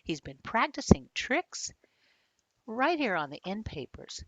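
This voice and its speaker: An older woman reading a picture book aloud to children, talking to them directly with questions and expressive storytelling. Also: on the high side, a little slow, no room sound. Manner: addresses young listeners directly with questions.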